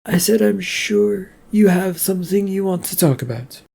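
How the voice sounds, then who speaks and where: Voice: mid-range voice, expressive, normal speed, vocal fry, warm tone, slightly rough, voice pushed up. A reader voicing a guarded office woman who answers in dry, clipped replies. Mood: curt.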